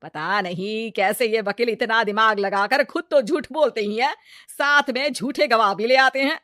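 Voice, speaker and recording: Sharp-tongued Indian mother-in-law played by a woman: high, dry studio sound, melodramatic taunts for a comic moral story. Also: moderate pace, no room sound, natural voice. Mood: sarcastic grumbling. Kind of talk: exaggerated comedy story acting.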